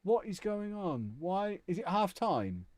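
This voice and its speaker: A man's voice: sits high, big pitch swings, unhurried, a little mellow, no echo. A young man chatting casually and jokily on a live stream, answering viewers' comments as he plays a football management game.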